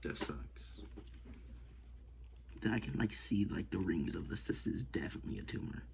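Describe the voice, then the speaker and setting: A young man vlogging casually while working at a desk, rambling and talking to himself with many hesitations and often dropping to a hush. He sounds sniffly and congested. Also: low-pitched, normal speed, gravelly. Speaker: outdoors vlogger. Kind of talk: casual hands-on vlog. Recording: noisy home recording.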